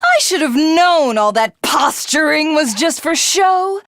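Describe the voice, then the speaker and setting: A haughty alien villainess from a video game, with a fairly high voice that swings dramatically in pitch. She speaks very slowly and theatrically, as a menacing megalomaniac hungry to devour everything. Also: expressive, a bit bright, no echo, clean studio sound, exaggerated voice. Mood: condescending.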